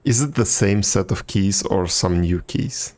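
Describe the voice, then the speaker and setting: A man's voice: low-pitched, measured pace, noisy background. A man chatting casually with viewers while livestreaming a game, laid-back and teasing. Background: game music.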